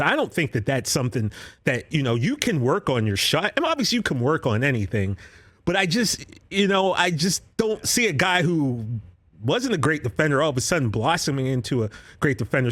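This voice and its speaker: Animated man telling sports stories. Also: fairly high pitch. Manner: animated storyteller, trash-talking banter. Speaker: podcast co-host. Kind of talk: casual sports chat.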